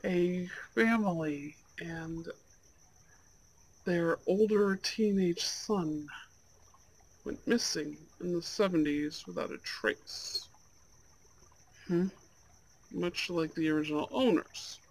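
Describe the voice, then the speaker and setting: A performer voicing a charming, soft-spoken young Goth gentleman on a blind date, in an ASMR roleplay with gentle, courteous, slightly old-fashioned phrasing. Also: mid-range voice, slow pace, long pauses, low-quality recording. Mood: curious, uneasy. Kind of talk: ASMR roleplay, speaking to an unheard listener.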